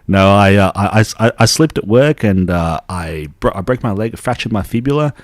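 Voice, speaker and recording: A young man, born in Taiwan, working as an acupuncturist and telling his story in a relaxed, casual interview full of restarts. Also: on the low side, normal speed, dry recording, studio quality. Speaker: acupuncturist guest.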